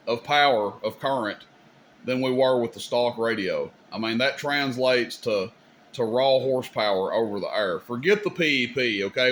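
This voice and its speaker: Man with folksy delivery, noisy recording. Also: mid-range voice. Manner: informal, folksy, opinionated. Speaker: host demonstrating radio gear. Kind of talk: casual hands-on demonstration. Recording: clear background noise, room ambience.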